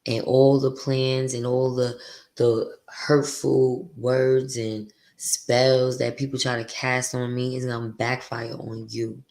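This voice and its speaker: A woman with a deep voice hosting her podcast, venting bluntly and profanely in a candid, confessional monologue. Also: flat delivery, slow pace, darkish tone. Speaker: podcast host.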